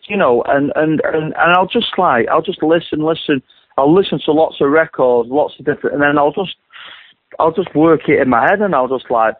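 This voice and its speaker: A middle-aged man with a deep voice, a singer-songwriter rambling through an interview with many restarts and repeated words. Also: no room sound. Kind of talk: casual interview talk. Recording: dry tinny phone line.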